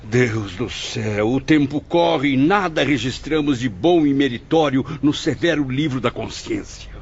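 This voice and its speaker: Guy playing earnest indignant writer ghost, lowish voice that swings a lot, formal and theatrical, muffled audio. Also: animated, a little slow, creaky, slightly rough, heavily acted. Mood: anguished. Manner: theatrical, formal literary diction. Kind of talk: dramatized audiobook acting. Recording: dull lo-fi audio, faint music.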